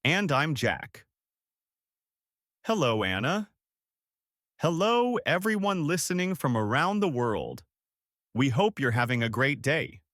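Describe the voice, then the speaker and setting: A man co-hosting a podcast English lesson, explaining example phrases in a clear, upbeat way. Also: on the high side, very animated, slow pace, pauses often, a bit bright, dry recording, clean studio sound. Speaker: podcast co-host and English teacher. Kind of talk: scripted English lesson dialogue.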